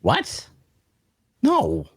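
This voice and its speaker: A man's voice: extremely slow, no echo. A man playing a grumpy, evasive loner in a fantasy audio drama, speaking in a dry, curt, hesitant way. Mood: defensive. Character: guarded, grumpy, evasive loner male traveler. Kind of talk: dark fantasy audio drama table read.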